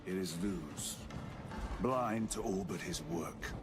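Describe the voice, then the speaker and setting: An actor playing a grim, commanding veteran space marine lieutenant in a video game, terse and grave. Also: male voice, sits low, slow, loud music behind the voice.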